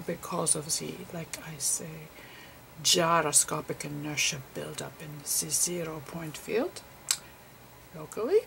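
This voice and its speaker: A woman voicing an eccentric, digressive physicist professor in a whispery, halting ASMR roleplay lecture. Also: deep voice, noisy background, exaggerated voice. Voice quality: whispery, breathy. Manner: halting, pedantic, rambling.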